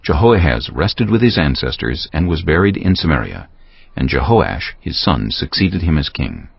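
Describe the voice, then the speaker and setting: A man narrating a scripture audiobook in a solemn, measured, dramatic style. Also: rumbling low, very animated, creaky, breathy edge. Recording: dull audio with music behind.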